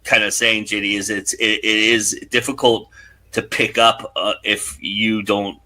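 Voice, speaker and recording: Guy interviewer, lowish voice but bright and forward, kinda searching for his words. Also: flat delivery, measured pace. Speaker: interview host. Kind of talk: casual interview questioning.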